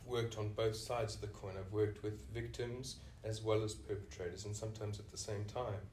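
A man's voice: on the low side, fairly even intonation, moderate pace, a little mellow, recorded from a distance, a little hiss or hum. A man with a South African accent, a senior clinician answering interview questions thoughtfully, often restarting with "you know". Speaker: senior clinician, podcast guest. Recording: echoey room, voice off-mic.

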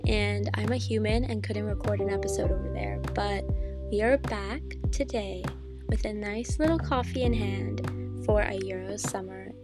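Slightly hoarse, chatty young woman. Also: mid-range voice, moderate pace, over loud music. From Canada. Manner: chatty, enthusiastic, gushing. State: jet-lagged, losing her voice. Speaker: podcast host. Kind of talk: casual solo podcast monologue.

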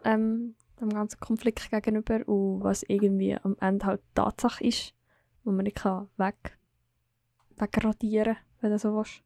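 A woman co-hosts a casual podcast chat with a gravelly, flat voice. She talks conversationally and thinks aloud, and the recording is dry and close. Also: mid-range voice, unhurried, no echo. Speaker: podcast co-host. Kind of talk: casual discussion.

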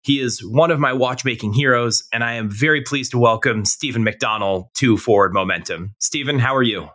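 A man hosting an interview podcast, asking measured questions with open enthusiasm. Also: mid-range voice, a bit bright. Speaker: podcast host.

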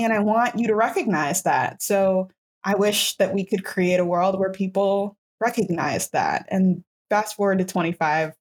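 A woman's voice: medium pitch, husky. A woman in her twenties, a podcast host, telling a personal story in a reflective, casual way with many fillers and restarts. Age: 20s.

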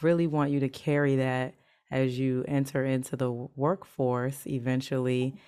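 Smooth-voiced woman podcast host. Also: very deep voice, little pitch movement, normal speed, dark tone. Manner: affirming, frequent "mm-hmm". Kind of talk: interviewing, encouraging.

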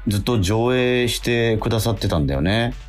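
A middle-aged man hosting a radio show in casual, animated, chatty talk with a co-host. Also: medium pitch, fairly quick. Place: radio studio. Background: music.

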